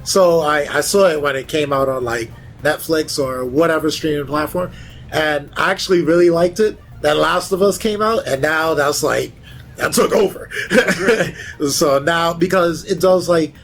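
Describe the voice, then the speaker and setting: A man's voice: on the high side, a little slow, a bit bright, soft background music. A man chatting casually as a panelist, with a jokey, interjecting way of talking. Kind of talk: casual chat.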